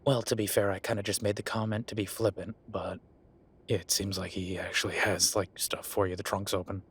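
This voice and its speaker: A man playing a gruff, sarcastic merman who runs a tattoo shop, speaking in a halting, conversational audio roleplay style. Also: fairly low pitch, level tone, a bit bright. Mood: concerned, gruff. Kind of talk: naturalistic one-sided audio roleplay.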